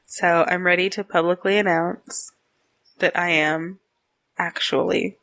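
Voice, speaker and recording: A woman's voice: fairly low pitch, little pitch movement, unhurried, vocal fry, fairly bright tone. A woman in her late twenties from Texas, co-hosting a casual podcast chat, laughing often and teasing her partner. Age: 20s. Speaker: podcast co-host. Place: home in Arizona.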